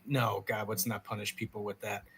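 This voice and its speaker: A man's voice: fairly low pitch, flat delivery, normal speed, fairly bright tone, slight background noise. A man co-hosting a casual livestream chat show, joking and self-deprecating in banter with his co-host.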